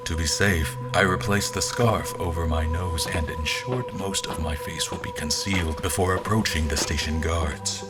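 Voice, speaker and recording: An actor playing a wry royal officer, giving measured, literary first-person narration of his journey in an audio drama. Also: sits low, natural voice. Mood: wry, descriptive. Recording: music under the narration.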